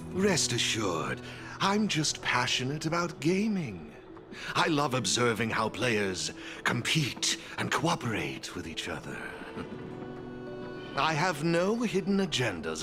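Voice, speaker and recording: An actor playing a flamboyant masked gentleman in a video game, formal, courteous and theatrical, like a showman. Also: medium pitch. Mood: playful, assuring. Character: flamboyant masked gentleman, smooth event sponsor and gaming enthusiast. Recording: background music throughout.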